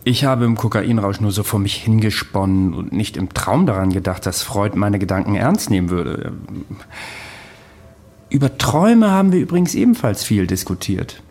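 A man's voice: fairly low pitch, animated, moderate pace, darkish tone. A man acting in a radio play as a confident, eccentric amateur psychoanalyst, eloquent, probing and provocative. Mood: musing, self-assured.

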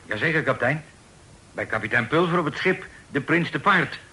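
A man's voice: a little high, lively intonation, a little fast, pushed and bright. A young man acting a respectful second mate of twenty-two, answering briefly in an old radio play. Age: young adult. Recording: old radio recording with hiss.

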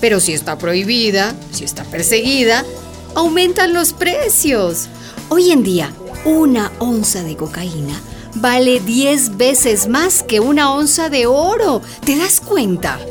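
An actress playing an earnest, didactic town mayor with a Latin American accent and emphatic key words in a radio drama. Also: female voice, on the high side, sing-song, unhurried, faint music behind. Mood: grave, explaining. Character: earnest, worldly-wise female town mayor, explaining patiently.

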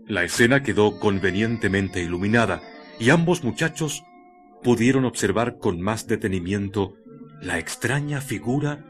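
A man narrating a scripted radio drama in a measured, literary storytelling manner. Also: on the low side, animated. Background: music.

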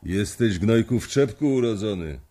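An actor playing an older, domineering bank boss who is blunt, curt and coarse in a radio drama. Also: male voice, on the low side, lively intonation, moderate pace, mellow. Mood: dismissive. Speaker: head of a bank trading floor.

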